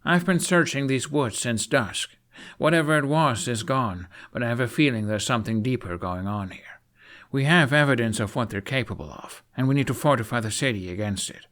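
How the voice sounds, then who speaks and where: A man's voice: mid-range voice, expressive, brisk, fairly bright tone, lightly acted. A man voicing a kind, steadfast young prince with an earnest manner in a fantasy audiobook. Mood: grave, urgent.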